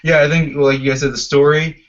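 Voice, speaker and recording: A man's voice: on the high side, little pitch movement, brisk. A man hosting a podcast, talking casually about films and steering the discussion. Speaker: podcast host. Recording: dull lo-fi audio.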